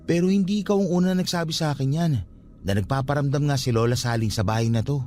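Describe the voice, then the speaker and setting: A Filipino man acting in a radio drama as a calm, respectful young caretaker of a haunted guesthouse, speaking politely and reassuringly. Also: on the high side, fast talker, faint music behind, natural voice. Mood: matter-of-fact.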